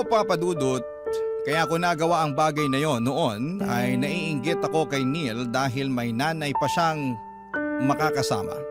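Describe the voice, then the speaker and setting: Male radio-drama narrator over loud music. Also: a little high. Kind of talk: scripted first-person narration of a listener's letter.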